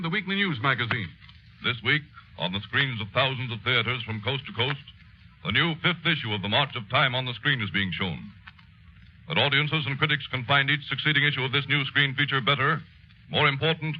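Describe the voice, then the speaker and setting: Portentous 1930s radio announcer, male, muffled. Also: mid-range voice. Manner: solemn, portentous, emphatic. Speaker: announcer and narrator. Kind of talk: 1930s newsreel-style dramatic narration.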